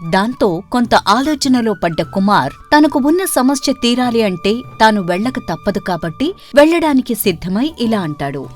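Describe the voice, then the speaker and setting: A woman narrates a scripted moral tale in an expressive way. Her voice sits a little high and swings widely in pitch, with music playing behind her. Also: normal speed, hardly any pauses. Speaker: storyteller narrator. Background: background music.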